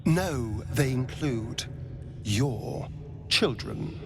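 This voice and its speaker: An actor playing a sly, theatrical vampire rogue in a video game, with an arch, drawling manner. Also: male voice, mid-range voice, expressive, drawn-out words, gravelly, heavily acted. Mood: wry, guarded. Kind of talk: scripted video game dialogue.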